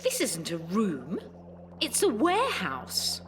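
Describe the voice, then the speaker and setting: An actress playing a stubborn elderly care home resident who believes in conspiracies, in an audio drama. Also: female voice, mid-range voice, huge pitch swings, slow, heavy background noise. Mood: bewildered. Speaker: voice actor.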